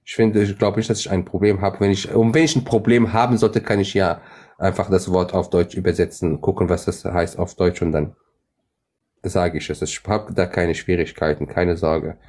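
A self-assured middle-aged man whose first language is Arabic talks over a phone mic. His voice sits a little low, and he keeps pressing his point and repeating himself. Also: steady tone, normal speed, darkish tone. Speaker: livestream host. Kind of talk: live stream debate chat.

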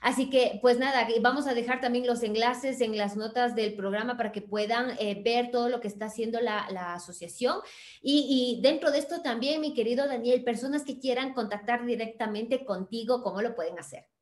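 Female podcast host, 40s, high-ish voice, gushing and warm in her words, roomy webcam sound. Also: fairly quick. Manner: warm effusive praise, affectionate sign-offs. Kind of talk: podcast interview by video call. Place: bright room at home.

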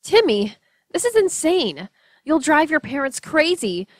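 A woman's voice: sits high, sing-song, unhurried, dry recording, clean studio sound. A woman acting a worried, pleading lover in an audio drama, earnest in manner.

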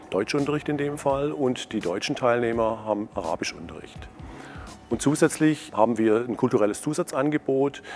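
A middle-aged man speaking into a lapel mic, calmly explaining a nursing training program as its instructor, with a few fillers. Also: on the low side. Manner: measured, explanatory, some fillers. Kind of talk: interview statement.

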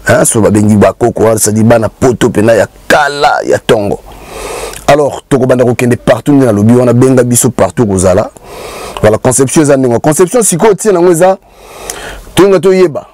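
Relaxed host guy in his 30s, warm low voice, halting with lots of fillers. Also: no room sound. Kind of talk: casual studio chat. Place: small indoor studio, shop-like room.

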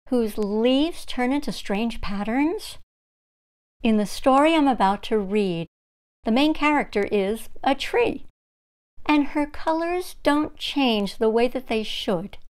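A middle-aged woman hosts a read-aloud for young children. Her voice is fairly high and crisp, and she takes her time, addressing her listeners directly in a deliberate way. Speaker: children's story-time host.

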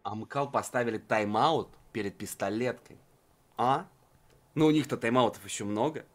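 A man's voice: mid-range voice, moderate pace. A man giving unscripted live commentary on an esports match in a colloquial, musing way.

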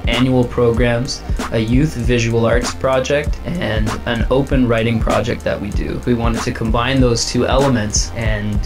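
A man's voice: mid-range voice, fairly even intonation, unhurried. A man narrating a scripted introduction as a podcast host. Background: guitar music.

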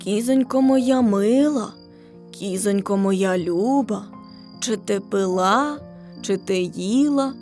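Woman playing a slow, tender old grandfather. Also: medium pitch, darkish tone, soft background music, natural voice. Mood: tender, questioning. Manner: slow, tender, singsong questioning. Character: doting old peasant grandfather questioning his beloved goat.

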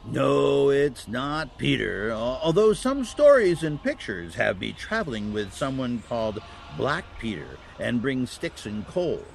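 Kindly, elderly Saint Nicholas male actor for a family audio drama: gravelly, lively, avuncular. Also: medium pitch, unhurried, few pauses, slight background noise. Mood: patient, kindly. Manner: avuncular, patient explaining with chuckles and hesitations.

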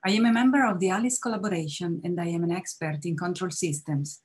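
A woman's voice: fairly low pitch, quick pace, breathy edge. A woman physicist from Italy giving a composed, formal introduction. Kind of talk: self-introduction to camera.

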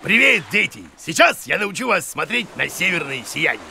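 A man voicing a goofy, blustering, bossy and excitable bearded teacher in a children's cartoon. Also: sits high, moderate pace, creaky, a little hiss or hum, exaggerated voice. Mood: cocksure. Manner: blustering, cocksure, exclaims in alarm.

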